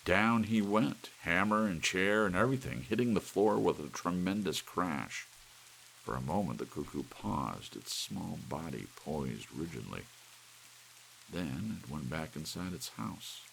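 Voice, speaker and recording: A man reading a story as an ASMR audiobook narrator, with soft rain and a light hiss behind him. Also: sits low, lively intonation, unhurried, vocal fry, poor audio.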